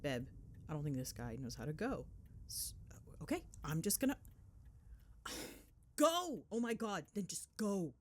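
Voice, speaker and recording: A cheeky, affectionate girlfriend acted out by a woman chats in a casual ASMR roleplay. Her voice sits on the low side for a woman and swings widely in pitch. She tells gossip with dramatic flair and sarcasm. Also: big pitch swings, a little slow, long pauses, darkish tone. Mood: exasperated. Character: chatty, cheeky, affectionate girlfriend gossiping while driving home. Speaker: voice actor.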